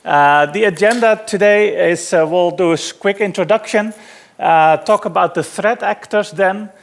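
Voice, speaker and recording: A middle-aged man from the Netherlands with a Dutch accent, giving a relaxed, self-deprecating technical conference talk with frequent fillers. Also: sits high, normal speed, vocal fry. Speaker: conference speaker. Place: conference hall.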